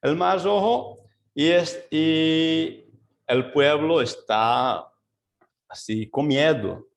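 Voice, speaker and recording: A man leading a Bible study over a video call as pastor, mixing Portuguese with Spanish, slow and searching, with many fillers and asides. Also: on the high side, gravelly.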